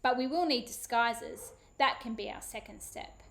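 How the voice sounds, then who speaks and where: A woman reading a children's book aloud, voicing a brave young boy who looks after his little sister in hushed, eager tones. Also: on the high side, normal speed, gravelly. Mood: reassuring. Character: brave, caring young boy, protective brother.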